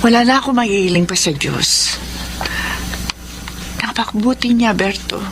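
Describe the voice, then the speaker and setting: A woman's voice: mid-range voice, a little slow, background noise, close to an everyday voice. A woman playing a humble, devout mother from a poor family in a radio drama. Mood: grateful. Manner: humble, polite. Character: poor devout mother, later a business owner.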